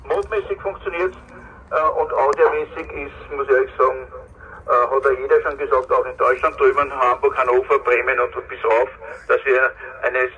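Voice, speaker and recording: A man's voice: medium pitch, fairly even intonation, normal speed, bright, forceful tone. A man with an Austrian accent chatting casually through a CB radio speaker. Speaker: CB radio operator running a gateway. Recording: muffled noisy radio speaker, picked up outdoors.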